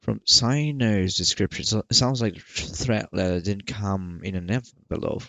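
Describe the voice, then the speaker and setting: A man doing casual let's play commentary in a rambling, halting way, often repeating himself, close to the microphone. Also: lowish voice, a bit bright, no echo. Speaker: gaming video creator.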